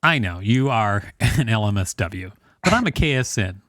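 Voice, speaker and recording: A man's voice: on the low side, animated, normal speed, a bit bright, dry recording, studio quality. A man co-hosting a podcast, reading an encyclopedia article aloud with informal, joking banter. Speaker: podcast co-host.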